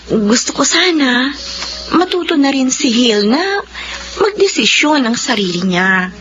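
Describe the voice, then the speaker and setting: A long-suffering wife pleading with her family in a radio drama. Her voice sits high, and the recording is dull with a faint hiss underneath. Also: sing-song, measured pace, a bit bright, full character voice. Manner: pleading, earnest.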